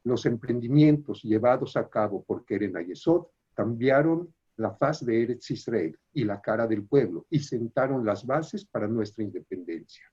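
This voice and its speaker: A man reading an introduction in a formal, measured way, then moderating audience questions in a video call with some hesitant fillers. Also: mid-range voice, animated, warm tone, slightly rough, highs cut off. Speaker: webinar moderator.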